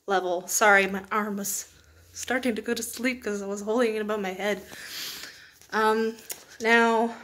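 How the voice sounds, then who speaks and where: A young woman chatting casually as she reviews books, rambling in an informal, self-deprecating way with small laughs. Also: on the high side. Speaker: book reviewer, video host.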